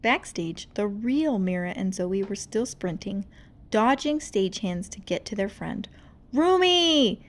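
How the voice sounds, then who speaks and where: Slow, expressive woman storybook reader. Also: medium pitch. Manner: expressive, suspenseful. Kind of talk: dramatic read-aloud narration.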